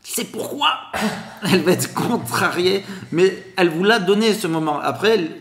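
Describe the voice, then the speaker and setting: A man with a Grenoble accent, a guest explaining telemarketing in a podcast interview, with a lively sing-song way of talking. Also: high voice, normal speed, roomy sound. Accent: Grenoble French.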